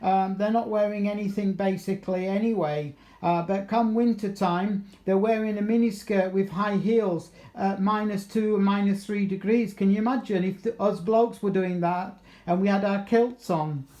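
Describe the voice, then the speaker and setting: A man's voice: high-pitched, fairly even intonation, normal speed, dark tone, echoey room. A man with a southeast English accent chatting casually and matily as a radio operator, using procedure words. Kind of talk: casual radio contact chat. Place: kitchen at home.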